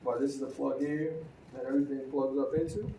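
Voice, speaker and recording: Relaxed man talking gear in a roomy space. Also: mid-range voice, fairly quick, recorded from a distance, a little hiss or hum. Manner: relaxed, conversational, with fillers and restarts. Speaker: motorcycle vlogger. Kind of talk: casual gear review. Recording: roomy, from a bit off the mic, faint ambience.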